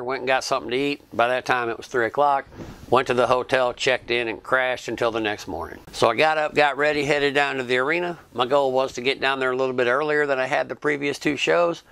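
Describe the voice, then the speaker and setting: A man telling his own trip story in a relaxed, chatty way, with small restarts. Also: mid-range voice, clean tone. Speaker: YouTube vlogger and fan interviewer. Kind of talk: casual recounting narration.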